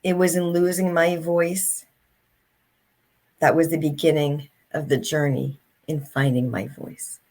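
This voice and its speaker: A woman's voice: sits low, level tone, a little slow, a little mellow. A middle-aged woman, an author and speaker, telling her story as an interview guest in an earnest, reflective way.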